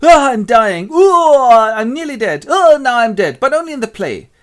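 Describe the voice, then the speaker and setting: Lovelorn amateur-theatre hero, acted out by a male storyteller: pitched high, overwrought, comically declaimed. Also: big pitch swings, normal speed, a bit bright, heavily acted. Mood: dying melodramatically.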